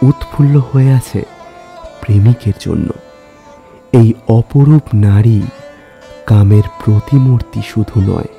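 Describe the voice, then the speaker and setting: A man narrates a scripted literary story in a solemn, measured, dramatic way, pausing between phrases. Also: fairly low pitch, dark tone. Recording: music under the voice. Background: musical instrument music.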